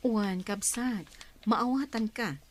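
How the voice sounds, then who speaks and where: A woman's voice: on the high side, slow, no room sound. A woman acting a kind, reassuring adoptive mother in an Ilocano audio drama. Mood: kind reassurance. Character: reassuring woman adopting her friend's baby.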